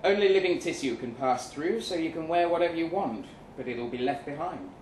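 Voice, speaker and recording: A man's voice: a little high, animated, brisk, darkish tone, lightly acted. A man playing a reserved, formal, world-weary middle-aged machine operator in a short story reading. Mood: explaining. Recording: roomy, distant live venue recording, faint noise.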